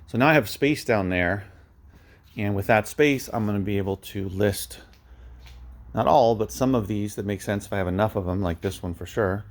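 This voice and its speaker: A man with a fairly low voice talks through a casual vlog update. He rambles in an unscripted way, thinking aloud as he goes, like a small-time reseller filling viewers in. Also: normal speed. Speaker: vlogger, online media reseller.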